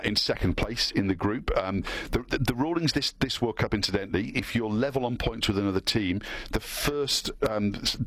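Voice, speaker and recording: A man giving radio sports analysis in a measured way, thinking aloud with frequent fillers. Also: lowish voice, a bit bright, no echo. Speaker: sports commentator.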